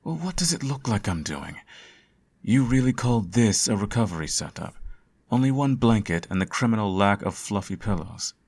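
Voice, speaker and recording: Man playing a deep, husky, sardonic supervillain. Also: expressive, normal speed, a little mellow. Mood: caring, fussing. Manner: theatrical, teasing, sarcastic. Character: sardonic male supervillain fussing over his hero nemesis. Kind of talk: ASMR audio roleplay.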